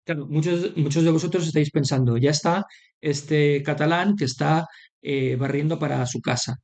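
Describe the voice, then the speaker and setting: A man with a Madrid Spanish accent giving unscripted political commentary over slides, halting, drawing out words and often adding short checking tags. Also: fairly high pitch, fairly even intonation, a little mellow. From Madrid, Spain.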